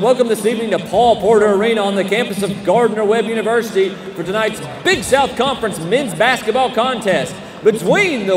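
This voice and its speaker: A young American man working as a public address announcer at a basketball game, with a booming showman delivery and drawn-out names. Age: young adult. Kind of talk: arena sports announcing. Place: courtside in an indoor basketball arena. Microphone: desk microphone on a short stand. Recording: echoing arena PA with loud crowd noise. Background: crowd noise and arena ambience.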